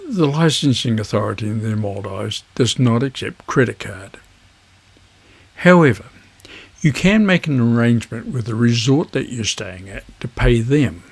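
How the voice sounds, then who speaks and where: A man with a husky voice, presenting an instructional vlog in measured phrases. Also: on the low side, darkish tone. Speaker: amateur radio video host.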